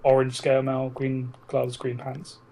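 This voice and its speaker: A British man with a gravelly, mid-pitched voice chats casually as a podcast co-host. He teases and swears freely. There is a faint noise on the home recording. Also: monotone, a little slow, warm tone, poor audio. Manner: teasing, sweary. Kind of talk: casual banter between friends.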